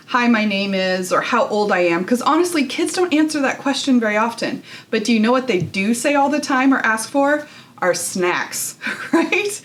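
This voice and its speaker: Woman, fairly high voice, casual explanatory product-review read.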